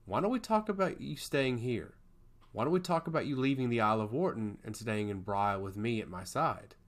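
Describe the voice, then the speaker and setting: A man's voice: mid-range voice, lively intonation, fairly quick, lightly acted. A man voicing a proud male god of chaos in a storybook, speaking earnestly and formally. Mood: tender, earnest.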